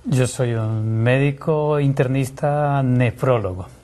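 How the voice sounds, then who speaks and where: A man's voice: medium pitch, slow, dark tone. A man with a Colombian accent from Santander, speaking as a guest doctor and explaining things in an interview. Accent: Colombian Spanish, Santander.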